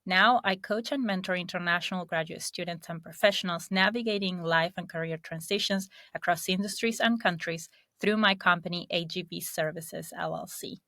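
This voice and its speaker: Bright, upbeat woman podcast host. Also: lowish voice, normal speed, few pauses, no room sound, clean studio sound. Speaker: podcast host and career coach. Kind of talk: podcast interview.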